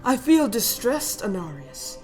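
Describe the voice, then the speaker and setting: Cartoon dragon queen, female actor: deliberate, mock-polite menace, smug and regal, unhurried delivery over soft music. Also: fairly high pitch, big pitch swings. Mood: cold, menacing. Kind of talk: animated fantasy drama acting.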